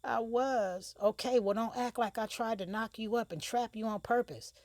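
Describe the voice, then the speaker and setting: A woman's voice: deep voice, normal speed, no room sound, studio quality, close to an everyday voice. A woman voicing a brash young male rapper who talks in street slang, teasing and blunt. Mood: heated. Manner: slangy, teasing, then heated and defensive. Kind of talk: audiobook character voice.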